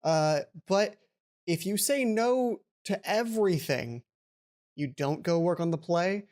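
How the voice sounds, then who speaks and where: A woman's voice: fairly low pitch, expressive, takes it slowly, a little mellow. A woman hosting a let's play, reading a visual novel aloud and adding casual commentary.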